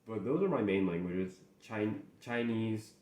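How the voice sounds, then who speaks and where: A young man in casual solo chat as host, rambling and halting with many restarts, mentions speaking English natively. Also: on the low side, animated, a little mellow. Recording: echoey room.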